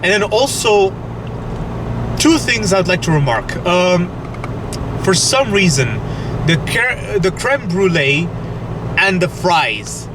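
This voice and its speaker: A man's voice: high voice, lively intonation, takes it slowly, pauses often. A young man from Belgium vlogging casually from the driver's seat of a car, chatty and hesitant with many restarts, talking to viewers through a camera on the dashboard. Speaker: food vlogger. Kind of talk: casual vlog chat to camera. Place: inside a car, driving. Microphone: camera mounted on dashboard. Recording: car and road noise behind.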